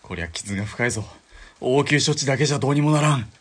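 A man's voice: mid-range voice, animated, quick pace, a bit bright. A man playing a hot-tempered army deserter who talks in a blunt, barking way. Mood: urgent. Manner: blunt, barking, rough soldier talk. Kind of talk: amateur radio drama acting.

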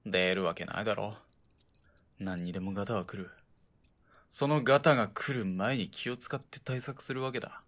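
A man playing a gruff, world-weary gunman in a Japanese radio drama, speaking bluntly and wryly in rough colloquial speech. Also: fairly low pitch, fairly even intonation, normal speed, a bit bright, close to an everyday voice. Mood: grim, dry. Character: gruff, world-weary male sniper-team partner, wryly nagging.

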